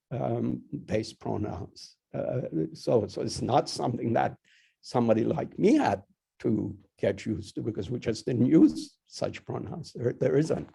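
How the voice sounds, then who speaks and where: An older man whose first language is Persian, a college dean giving a halting formal address with many restarts. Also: mid-range voice, creaky, mellow, breathy edge.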